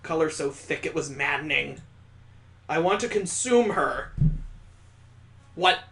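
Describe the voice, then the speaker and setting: A man's voice: a little high, lively intonation, takes it slowly, sharp tone. A man hosting a let's play, reading a visual novel dramatically and adding incredulous, joking commentary. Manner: incredulous, joking asides between earnest narration. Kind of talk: dramatic read-aloud with reactive commentary.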